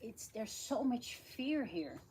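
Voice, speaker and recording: Higher-voiced emphatic woman, noisy recording. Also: animated, slow. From Netherlands. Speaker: nurse. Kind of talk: personal talk to a group. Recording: noisy live stream, clicks, roosters.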